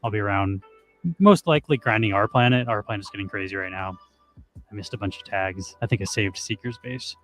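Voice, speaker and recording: A hoarse man co-hosting a stream, chatting casually and rambling with lots of "like". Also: fairly low pitch, brisk, fairly bright tone, faint music behind. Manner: rambling, heavy use of "like". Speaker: streamer co-host.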